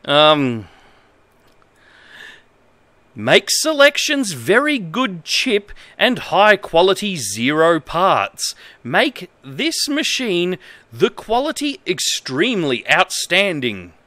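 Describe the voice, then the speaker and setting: A man's voice: high-pitched, wildly swooping pitch, slow, bright, forceful tone, no echo. An Australian man in his thirties, a streamer talking casually and reading aloud in an amused, emphatic way. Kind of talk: casual livestream, halting comedic read-aloud. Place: indoor room at a desk.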